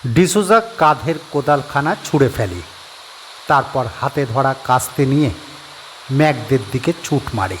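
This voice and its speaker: A man narrating a horror audio story in a steady, measured storytelling voice. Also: mid-range voice, a little hiss or hum.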